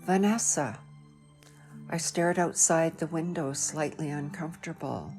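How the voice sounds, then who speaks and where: Slow, low-voiced woman narrating. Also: darkish tone, soft background music. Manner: slow, measured, reflective. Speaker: audiobook narrator. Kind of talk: first-person audiobook narration.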